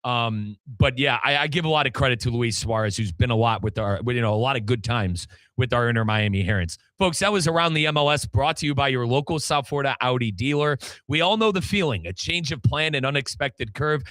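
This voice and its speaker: A man's voice: mid-range voice, brisk, hardly any pauses, fairly bright tone, no echo. A man hosting a sports radio show, talking in an emphatic way like a football commentator. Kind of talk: animated sports talk with sponsor reads.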